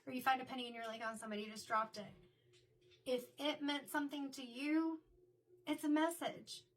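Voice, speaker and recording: A middle-aged woman, a card reader and medium, talking in a chatty, reflective way, her voice a little raspy from illness. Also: high-pitched, fairly even intonation, fairly quick, recorded from a distance. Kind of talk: casual spoken tarot reading intro.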